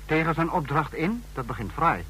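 An actor in a radio drama playing a measured, authoritative regime leader who speaks in terse, probing phrases. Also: male voice, a little high, lively intonation, pushed and bright, soft background music, poor audio. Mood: questioning, authoritative. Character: questioning male regime leader, the comrade protector, measured authority. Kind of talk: radio drama acting in Dutch. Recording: radio drama with faint noise.

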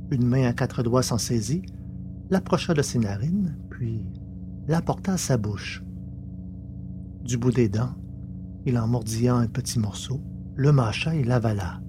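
A man reading scripted literary science fiction narration as the storyteller. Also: medium pitch. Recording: clearly noisy background with hum.

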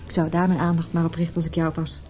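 A woman's voice: on the low side, completely flat delivery, fast talker, warm tone, faint music behind, close to an everyday voice. An actress playing an earnest, frank married woman in a radio play. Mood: reproachful, earnest. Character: sincere, earnest married woman named José.

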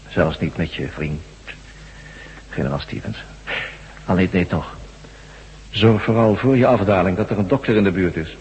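Menacing blackmailer, cavernous reverb. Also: male voice, low-pitched, darkish tone. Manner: commanding, curt, threatening. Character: menacing male blackmailer issuing cold ultimatums. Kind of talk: radio drama acting. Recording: dull, long reverb, faint hum.

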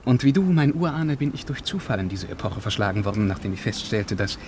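An actor as a pompous, low-voiced scholar from the future. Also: male voice, animated, a little fast, a little mellow, a little hiss or hum, close to an everyday voice. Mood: proclaiming. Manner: florid, pompous, ceremonious. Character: slender bespectacled scholar from the far future, grandiloquent, self-important. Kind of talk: German radio play acting.

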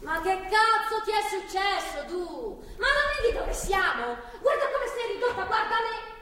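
An actress playing a wounded, sarcastic, foul-mouthed pregnant captive in a stage drama. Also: female voice, very high-pitched, sing-song, normal speed, pushed and bright, exaggerated voice. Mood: accusing. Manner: sarcastic, pleading, profane outbursts. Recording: echoey hall from far off, faint noise.

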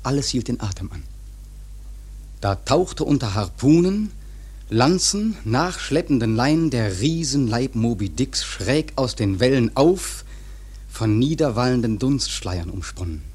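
Grave sea storyteller, husky mid-pitched voice, measured, suspenseful, radio play narration. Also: male voice, very animated, a little mellow. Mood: intense, awed. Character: grave male seafaring storyteller recounting a whale hunt. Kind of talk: scripted radio drama narration.

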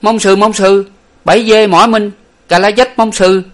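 A man voicing a cheeky young male servant who yells shrill mocking taunts. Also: fairly even intonation, unhurried.

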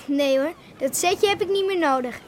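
An actress playing an eager, hopeful young woman in expressive, natural Dutch radio drama dialogue. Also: high voice, moderate pace, a little hiss or hum, lightly acted. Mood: delighted, wistful.

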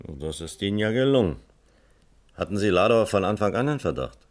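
A composed police captain with a very low voice. He speaks in a measured, dryly ironic way, in the manner of a classic German radio crime play. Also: sing-song, dry recording. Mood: sober, questioning. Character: calm, dry East German police captain, male detective.

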